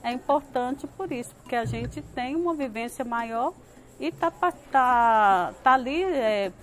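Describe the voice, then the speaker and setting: Higher-voiced Brazilian community woman. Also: expressive, unhurried, a little hiss or hum. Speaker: community member. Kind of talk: interview testimony.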